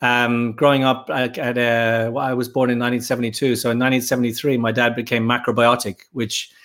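A man, a podcast guest talking casually and thoughtfully, pausing often. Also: mid-range voice, level tone, fairly bright tone. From Ireland. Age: 50s. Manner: thoughtful, halting, with frequent pauses and fillers. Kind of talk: casual interview talk.

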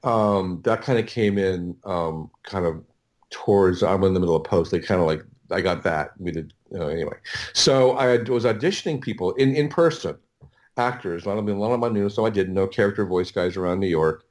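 A middle-aged man telling stories as an audio producer in a casual interview, halting and rambling as he repeats and restarts his words. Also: low voice, darkish tone.

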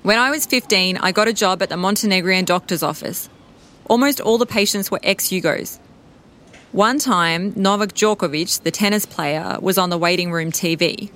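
Calm woman narrating her life story. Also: medium pitch, moderate pace. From Serbia. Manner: calm, reflective, wry. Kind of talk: scripted personal narration. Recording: music bed underneath.

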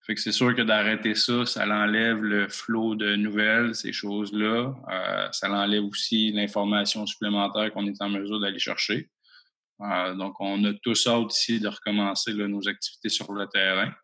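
Gravelly low Québécois man, halting. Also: flat delivery, sharp tone. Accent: Québécois French. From Abitibi-Témiscamingue, Quebec. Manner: hesitant, many fillers. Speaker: mining company executive guest. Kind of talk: podcast interview answers. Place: home.

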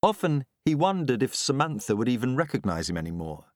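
A man's voice: medium pitch, big pitch swings, a little fast, no echo, clean studio sound. A man reading a novel aloud as scripted audiobook narration.

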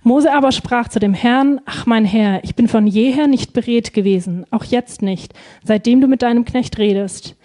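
A woman's voice: a little high, normal speed, dark tone. A woman interpreting a sermon live, phrase by phrase, hesitating and feeling for words with frequent ums.

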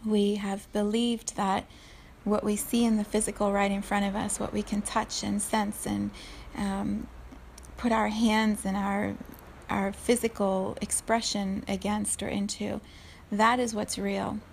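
Woman, 40s, from South Africa; calm reflective solo talk, even and flat in pitch, gravelly texture. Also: slight background noise. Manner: unhurried, thoughtful, laughs softly at herself.

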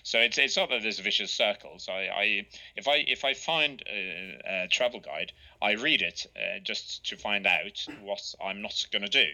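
A man talks through his travel habits in a relaxed interview. His voice is lowish and very bright, and he stops and restarts often, filling gaps with little sounds. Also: poor audio. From Norway. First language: Norwegian. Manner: halting, many restarts and fillers. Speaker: guest, travel author. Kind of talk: conversational interview.

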